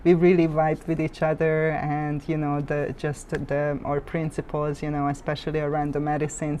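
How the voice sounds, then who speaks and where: Woman podcast host with a lower-pitched voice. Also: a little mellow, slight background noise. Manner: enthusiastic. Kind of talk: casual podcast interview.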